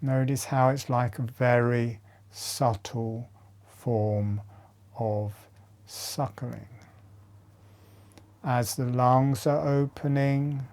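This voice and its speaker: A man giving guided breathing and meditation instruction in short, measured phrases with long pauses between them. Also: medium pitch, steady tone, a little mellow.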